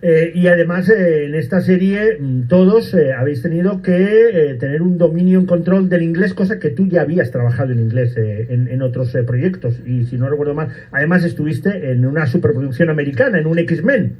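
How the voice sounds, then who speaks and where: A man's voice: a little high, fairly quick, hardly any pauses, muffled recording. A man hosting a radio interview, asking long questions full of hesitations and fillers. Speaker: radio host.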